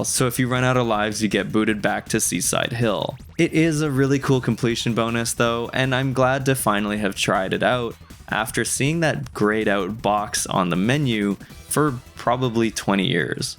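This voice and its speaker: A young adult man narrating a scripted video game review in a wry, conversational way. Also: lowish voice. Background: music.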